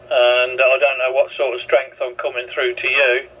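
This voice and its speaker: A man's voice: medium pitch, completely flat delivery, moderate pace. A man chatting casually, heard through a two-way radio transmission. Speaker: radio hobbyist. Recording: distant, muffled, faintly noisy radio audio.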